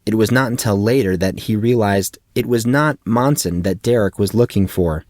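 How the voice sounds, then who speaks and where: Low-voiced man narrating a story, dry sound. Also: moderate pace, darkish tone, no echo. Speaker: audiobook narrator. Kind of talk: scripted fiction narration.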